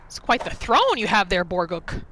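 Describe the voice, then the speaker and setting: A woman's voice: mid-range voice, big pitch swings, moderate pace, fairly bright tone, slight background noise. A woman doing roleplay gameplay commentary, narrating her character's thoughts and reacting to the game. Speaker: gaming video creator, roleplayer. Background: faint music.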